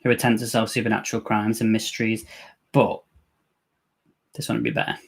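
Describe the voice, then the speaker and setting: Gravelly Scouse man chatting on stream. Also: fairly low pitch, level tone, a little fast. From England. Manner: jokey, chatty, reacting to the film and reading viewer comments. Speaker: streamer hosting a live watch-along. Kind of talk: casual live-stream chat.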